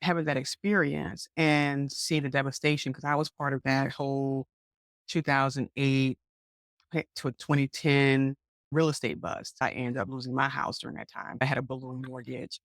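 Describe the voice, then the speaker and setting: A woman from Georgia hosting an interview podcast, animated and encouraging in casual chat. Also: a bass voice, normal speed, no echo, studio quality. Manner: animated, encouraging, lots of affirming backchannels. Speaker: podcast host.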